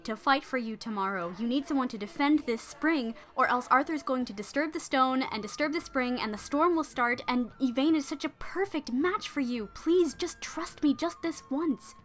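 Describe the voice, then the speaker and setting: A woman's voice: medium pitch, normal speed, fairly bright tone, soft background music, lightly acted. A woman voicing a scheming, loyal young maidservant who keeps pushing her lady with casual, modern phrasing. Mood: persuading.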